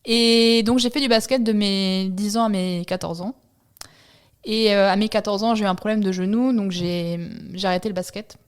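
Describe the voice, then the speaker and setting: A woman in her thirties from France, telling her own story in an interview, relaxed and reflective with many hesitant pauses. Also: medium pitch, smooth. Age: 30s. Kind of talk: personal testimony interview.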